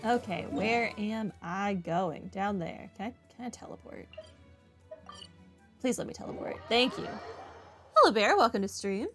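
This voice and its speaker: A woman's voice: medium pitch, lively intonation, measured pace, pauses often, fairly bright tone, soft background music. A woman giving casual playthrough commentary as a streamer, wryly talking back to the game. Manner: wry, talks back to the game.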